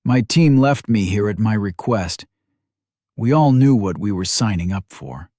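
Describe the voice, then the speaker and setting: A man voicing a badly wounded one-legged warrior in his forties, gruff and stoic, with terse warnings spoken through gritted teeth. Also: on the low side, animated, unhurried, dark tone, no room sound, clean studio sound. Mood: grim warning. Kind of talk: audiobook character voice.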